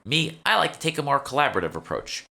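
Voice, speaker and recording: A man plays an eager young woman who keeps a magic shop in a fantasy audiobook. The voice is lifted high and swoops with enthusiasm. It is bright, polite and full of sales patter. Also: very animated, quick pace, vocal fry, heavily acted. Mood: chatty, eager. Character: chatty, eager young female magic shopkeeper. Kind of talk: audiobook character dialogue.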